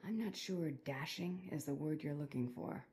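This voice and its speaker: A woman's voice: low voice, moderate pace, slight background noise. An actress playing a cool, curt, unbothered female bounty hunter in a video game. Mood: cool, deadpan. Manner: deadpan, unbothered.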